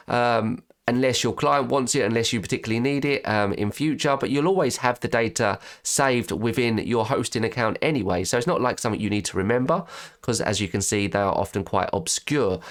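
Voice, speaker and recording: A man talks through a screen-recorded tutorial with a stuffy nose and a slightly croaky voice. His delivery is chatty and informal, full of quick restarts and corrections as he works on screen. His pitch sits in a middle range for a man. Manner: chatty, restarts and self-corrects while clicking. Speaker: web design tutor.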